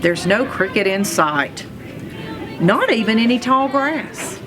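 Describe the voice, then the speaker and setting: A woman reading a children's story aloud in an expressive, playful manner. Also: on the high side, takes it slowly, music in the background. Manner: expressive, playful, acts out sounds and exclamations. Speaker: read-aloud reader, school superintendent.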